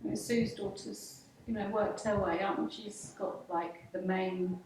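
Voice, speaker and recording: A woman's voice: medium pitch, steady tone, measured pace, a little mellow. A middle-aged woman reminiscing casually as a local interviewee. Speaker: local interviewee and pantomime participant. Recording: very echoey room, distant mic, faint noise.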